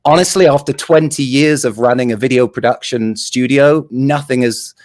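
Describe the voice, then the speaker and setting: A man in his forties with a London accent answers interview questions about making videos. He speaks in an animated, enthusiastic way, and his voice sits in an ordinary middle pitch. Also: moderate pace. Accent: English, London. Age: 40s. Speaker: guest, video producer.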